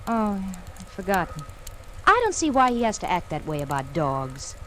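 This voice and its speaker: An actress playing a spirited, affectionate but stubborn wife, expressive in the manner of 1940s radio drama. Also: female voice, on the low side, normal speed, a little hiss or hum. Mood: affectionate, fretting.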